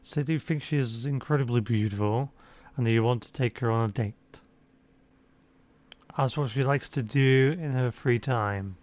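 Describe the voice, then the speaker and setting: A man's voice: mid-range voice, normal speed, a little mellow. A man commenting on a game, reading the choices aloud with sarcastic, mocking asides. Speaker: let's play commentator.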